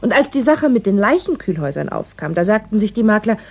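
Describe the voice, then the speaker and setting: Self-assured husky-voiced local woman. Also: mid-range voice, very animated, fast, a little mellow. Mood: assured, explaining. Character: self-assured local woman, patiently explaining her island's history. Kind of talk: 1960s German radio play acting.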